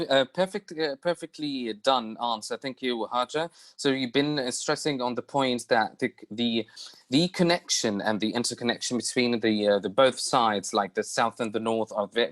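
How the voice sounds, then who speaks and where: A man moderating a video-call forum, speaking haltingly with many fillers and repeating his questions. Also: mid-range voice, a bit bright. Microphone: computer mic on video call.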